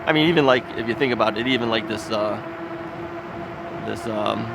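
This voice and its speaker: A man's voice: on the low side, moderate pace, gravelly. Rambling man vlogging outdoors. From United States. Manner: rambling and folksy, with filler words and frequent chuckles. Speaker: ebike video host. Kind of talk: casual chatty vlog while riding. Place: outdoors on a city street. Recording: outdoor street recording with traffic noise. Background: traffic and street noise.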